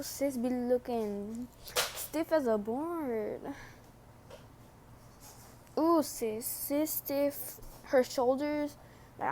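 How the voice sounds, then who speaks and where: A young woman giving casual, slangy, sweary and teasing commentary as a gaming vlogger, with unhurried delivery. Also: sits high, darkish tone, slight background noise.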